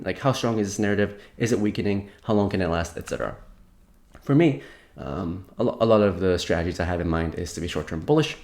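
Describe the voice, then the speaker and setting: A man's voice: low voice, darkish tone. A young man giving a casual market update while slightly sick, rambling with many fillers and restarts. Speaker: crypto market commentator.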